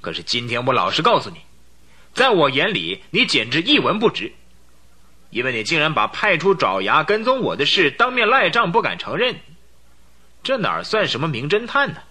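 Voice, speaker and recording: An actor playing an arrogant young count who secretly runs a gang, sneering and thuggish in a radio drama, with a rough edge to his voice. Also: fairly high pitch, wildly swooping pitch, moderate pace, bright, forceful tone, full character voice. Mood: mocking. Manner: sneering, scornful, thuggish speech. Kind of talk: Chinese radio drama acting.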